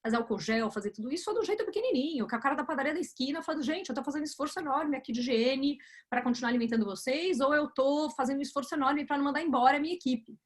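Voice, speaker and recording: A woman's voice: high-pitched, very fast, hardly any pauses. A woman speaking as a panelist over a video call, making her points emphatically with examples. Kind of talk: webinar panel discussion.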